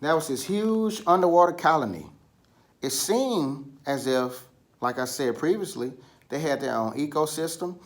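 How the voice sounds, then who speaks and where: A man's voice: fairly high pitch, animated, moderate pace. A man reading and retelling stories for children, speaking to them directly and asking them questions. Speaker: children's story reader. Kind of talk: storytelling read-aloud for children.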